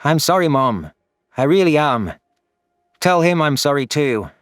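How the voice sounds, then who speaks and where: A man's voice: on the high side, very animated, a little slow, no echo, studio quality. A man voicing an anguished, vengeful young furry alien who narrates his own audio story in an earnest, solemn, dramatic way. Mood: pleading.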